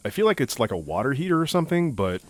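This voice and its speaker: A man's voice: medium pitch, very animated, racing, no room sound. A man in his thirties from California, chatting loosely while playing a game, with plenty of fillers and casual swearing. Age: 30s. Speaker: co-host playing a video game. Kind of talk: casual chat while gaming.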